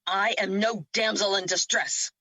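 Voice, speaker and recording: A woman's voice: medium pitch, fairly even intonation, measured pace, pushed and bright, no echo, muffled recording. A woman playing a feisty, cocky ally in an audio drama, bold and sassy. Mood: defiant. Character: Luna, feisty, cocky female ally, fiercely defiant.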